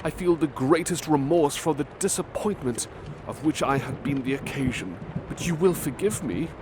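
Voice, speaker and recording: A man's voice: a little high, expressive, normal speed, background noise, close to an everyday voice. Emotional, grateful young invalid, read by a man with a lifted voice. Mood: fervent, grateful.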